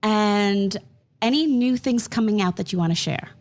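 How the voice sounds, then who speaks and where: A woman's voice: medium pitch, normal speed. Chatty woman podcast host. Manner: warm, laughing, chatty. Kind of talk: casual interview chat.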